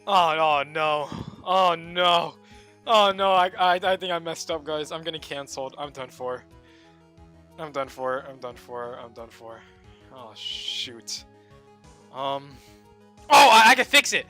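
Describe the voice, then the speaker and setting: Young male game streamer, joking and excitable, slightly high voice with a sharp, bright tone. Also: unhurried, faint music behind. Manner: excitable, joking, swings between shouting and muttering. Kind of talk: casual gameplay commentary.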